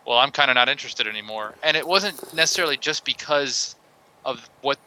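A man on a podcast panel in a casual debate, ranting in an animated, mildly profane way. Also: mid-range voice, fairly quick, poor audio.

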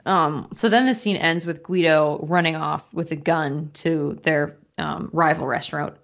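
A woman's voice: sits low, fairly even intonation, measured pace, creaky, a bit bright. A young woman from Minnesota with a Minnesotan accent, co-hosting a podcast and chatting casually, giggly and full of fillers. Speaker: podcast co-host. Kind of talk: casual chat recapping a TV episode. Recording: dull lo-fi podcast audio.

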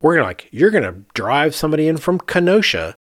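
A man's voice: a little high, sing-song, quick pace, fairly bright tone, dry recording, clean studio sound. A man who works as an architect, chatting casually as a podcast host in an emphatic way with rhetorical questions. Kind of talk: casual conversational discussion.

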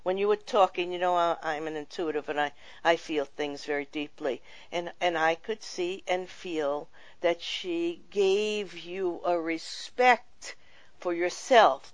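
Woman talk-show host, slow and gentle, reflective spiritual tone, dry close sound. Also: on the low side, no room sound.

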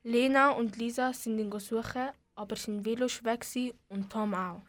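A woman's voice: a little high, steady tone, normal speed, dry recording. A young female narrator of an amateur school radio play, speaking with a Swiss German accent.

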